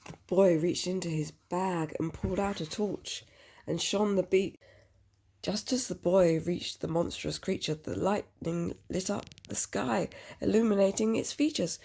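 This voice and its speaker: A woman with a husky voice reading a picture book aloud to a child, expressively, with chatty questioning asides. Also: lowish voice, measured pace, dark tone.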